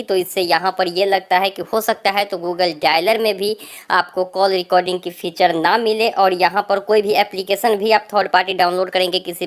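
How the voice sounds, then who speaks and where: Indian lady doing a tech news update, kinda low voice, chatty, talks right to viewers. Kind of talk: informal news update narration addressed to viewers.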